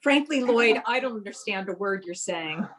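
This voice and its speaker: A woman's voice: mid-range voice, expressive, normal speed, slight background noise, natural voice. A woman playing a wry, scheming wife in a radio play, speaking dialogue and narration in a dry, confiding way. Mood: dry, skeptical.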